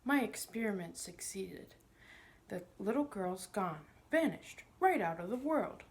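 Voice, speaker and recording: A woman's voice: medium pitch, lively intonation, unhurried, a little mellow. A woman voicing a pompous, sly older male magician in a storybook, smug and condescending, with a wheedling manner. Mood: gleeful.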